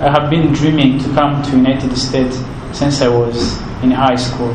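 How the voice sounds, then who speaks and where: A man's voice: medium pitch, steady tone. Earnest young man with rwandan accent. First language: Kinyarwanda. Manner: earnest, halting, repeats words while searching. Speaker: interviewee. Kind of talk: heartfelt interview answers. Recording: roomy with background noise.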